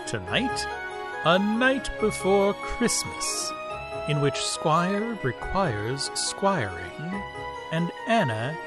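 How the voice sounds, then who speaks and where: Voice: mid-range voice, big pitch swings, very slow. An announcer giving a dramatic, vintage-style introduction to a radio drama series. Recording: loud percussive theme music behind.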